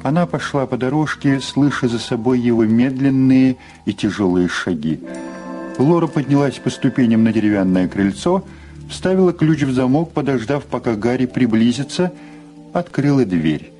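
A man with a mid-pitched voice narrates an audio drama. The delivery is scripted, dramatic storytelling, with music clearly playing behind him. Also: animated, moderate pace, mellow.

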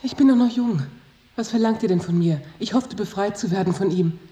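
An actress playing a desperate, lovelorn young prisoner in a radio drama, fearful and pleading in a reverberant, echoing space. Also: female voice, medium pitch, brisk, mellow, close to an everyday voice. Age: young adult. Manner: pleading, agitated.

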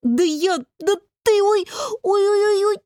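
A melodramatic young man, acted by a woman narrator, whining and hot-tempered in a comic fantasy audiobook. The voice is pushed very high and drags out its suffering complaints, recorded dry. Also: no echo, natural voice. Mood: furious. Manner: wailing, drawn-out complaining.

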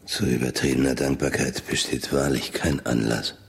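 A man's voice: very deep voice, fairly even intonation, moderate pace, gravelly, background noise. A man playing a thoughtful, reserved scientist in a radio drama, speaking in a hesitant, reflective way. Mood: weary, reserved. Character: thoughtful, reserved male scientist and wealthy employer.

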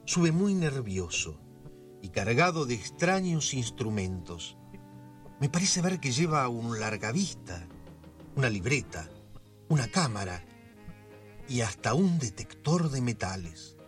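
Neighborhood storyteller for radio drama, Porteño accent, mid-range voice, leisurely and expressive. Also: male voice, mid-range voice, unhurried, faint music behind. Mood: storytelling. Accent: Argentine, Buenos Aires. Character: storyteller narrator who knows the neighborhood intimately. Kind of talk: scripted radio drama narration.

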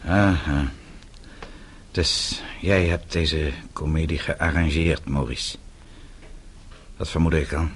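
An actor playing a bitter, defiant middle-aged exile in a radio play, sardonic and accusing. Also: male voice, rumbling low, steady tone, slow, pauses often, noisy background. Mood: sardonic, guarded. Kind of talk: Dutch radio play acting.

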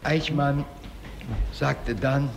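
An actor playing a male witness giving deliberate, halting, precise courtroom testimony in a radio drama. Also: mid-range voice, steady tone, creaky. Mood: grave. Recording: reverberant hall with faint noise.

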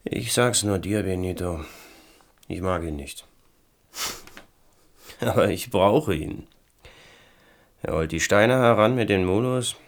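An actor playing a gruff, distrustful, embittered male recluse and inventor in a German radio play. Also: sits low, slow, pauses often. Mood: confiding, subdued. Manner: snappish, distrustful.

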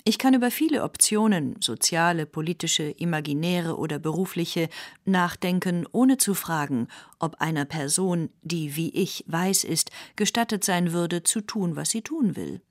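Low-voiced woman reading quotations, dry sound. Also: expressive, moderate pace, no room sound. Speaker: quotation reader. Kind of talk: reading quotations in a radio essay.

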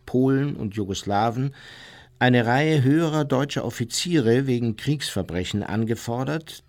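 An actor playing an unrepentant former Nazi officer, reading his memoir in a measured, formal way for a radio play. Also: medium pitch, darkish tone. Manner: measured, matter-of-fact, formal.

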